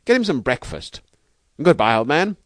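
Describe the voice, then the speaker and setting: A man voicing an eager, good-natured young gentleman in a storybook, breezy and effusive. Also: deep voice, sing-song, unhurried, no echo, clean studio sound. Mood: excited, hurried.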